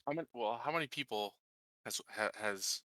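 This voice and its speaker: A man's voice: on the low side, moderate pace, bright, forceful tone, dry recording. A man chatting casually as a tabletop game player, with a hesitant, stumbling delivery.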